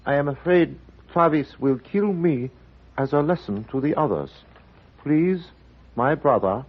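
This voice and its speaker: A muffled old radio recording carries a grave older Chinese uncle. His voice is husky and of middle pitch, and he chooses each formal word with care and dignity, as in 1950s radio drama. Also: exaggerated voice. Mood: solemn. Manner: formal, careful, deliberate, without contractions.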